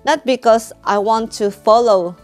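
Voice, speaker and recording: A woman's voice: fairly high pitch, a little slow, a little mellow, soft background music. A woman in her thirties from Indonesia with an Indonesian accent, hosting a channel and teaching English in a friendly, anecdotal way. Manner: friendly, anecdotal, with easy laughs and restarts. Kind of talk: casual teaching talk.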